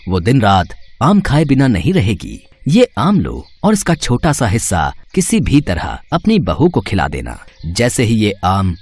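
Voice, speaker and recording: A man voicing a benevolent, mystical holy hermit who speaks in slow, grand pronouncements in a cartoon story. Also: on the low side, huge pitch swings, darkish tone, husky, heavily acted. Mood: assured, solemn. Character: mystical male holy hermit granting a magical boon.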